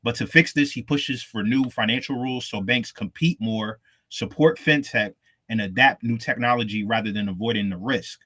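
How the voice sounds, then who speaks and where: A man's voice: medium pitch, normal speed, pushed and bright. A man co-hosting a casual crypto podcast, reading out news stories in a laid-back, slangy way. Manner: laid-back slangy delivery, calls listeners "fam". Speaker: co-host.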